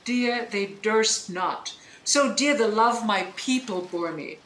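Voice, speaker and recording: Woman acting deposed duchess, roomy echo, mid-pitched, commanding, bitter, scholarly, emphatic Shakespearean storytelling, audio play. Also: slow pace, fairly bright tone. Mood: grave, intent. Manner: declamatory, emphatic storytelling, demanding attention. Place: indoor room, recorded over internet link. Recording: roomy remote recording, noise.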